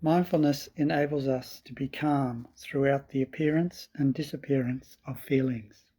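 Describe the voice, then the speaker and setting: A man with a slightly husky voice guiding a meditation in calm, measured, deliberate phrases. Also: mid-range voice. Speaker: meditation teacher. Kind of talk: slow guided meditation.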